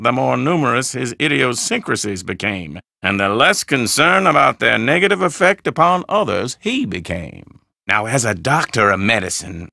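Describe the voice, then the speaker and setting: A creaky, courtly old Southern gentleman. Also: medium pitch, no room sound, clean studio sound, heavily acted. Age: older. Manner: florid, courtly, drawn-out phrasing. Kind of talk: video game character dialogue.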